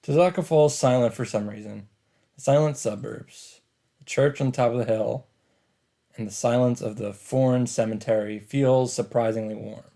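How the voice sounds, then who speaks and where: A man's voice: medium pitch, steady tone, moderate pace, creaky, mellow. A man reading visual novel narration aloud as a let's play host, with casual asides.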